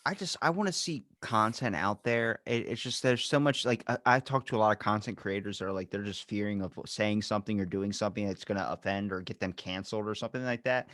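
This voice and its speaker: A man's voice: fairly low pitch, quick pace, few pauses, fairly bright tone, no room sound, studio quality. A man hosting a podcast in casual, opinionated chat, rambling and emphatic, with swearing thrown in. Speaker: podcast host.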